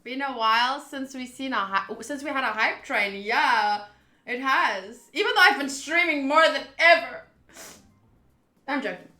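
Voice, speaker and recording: A young woman streaming a game, talking to chat in a playful, animated, jokey way. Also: high voice, measured pace, echoey room. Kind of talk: casual livestream reaction.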